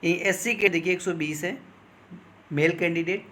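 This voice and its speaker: Higher-pitched man explaining informally. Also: steady tone, moderate pace, fairly bright tone, slight background noise. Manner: instructive, repeatedly checking in with listeners. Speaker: exam coaching teacher advising candidates. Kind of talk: informal explanatory talk on exam cut-offs.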